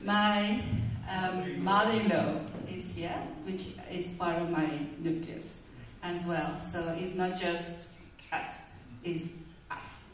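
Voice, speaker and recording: A woman, speaking as a guest on a church panel in a relaxed, hesitant way with many fillers. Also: on the low side, takes it slowly, few pauses, a little hiss or hum, poor audio. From Mexico. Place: church stage before a congregation. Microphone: handheld mic.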